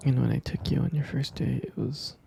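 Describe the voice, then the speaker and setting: A man playing a tender, devoted husband in an intimate ASMR roleplay, speaking slowly and hesitantly in a soft, breathy, creaky whisper. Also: mid-range voice, steady tone, a little hiss or hum. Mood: adoring, tender. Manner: slow, soft, hesitant, doting murmur. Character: tender, devoted husband comforting his pregnant partner.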